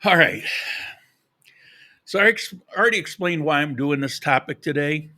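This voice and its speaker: A man with a fairly high, very bright and forward voice hosts a casual livestream. He addresses his viewers directly in an informal, conversational way. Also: animated, normal speed. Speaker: live podcast host.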